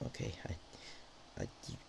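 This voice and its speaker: A man's voice: normal speed, a little mellow, natural voice. A man voicing a bashful, affectionate dragon girl in an intimate ASMR roleplay, stammering and hesitant. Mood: flustered, embarrassed. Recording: faint background noise.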